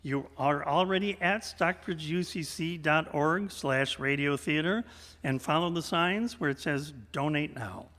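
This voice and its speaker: A man with a slightly high voice hosts a live radio theater show. He is folksy and tongue-in-cheek, leaning theatrically on his words, and his voice rings out in a reverberant church sanctuary. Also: unhurried, a bit bright. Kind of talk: live stage hosting, storytelling. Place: large church sanctuary, indoors.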